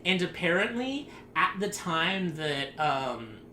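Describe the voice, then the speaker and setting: A man's voice: fairly high pitch, big pitch swings, slow, pushed and bright. A man hosting a casual group podcast, rambling and sarcastic, laughing often as he talks. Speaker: podcast host. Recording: echoey room, faint noise.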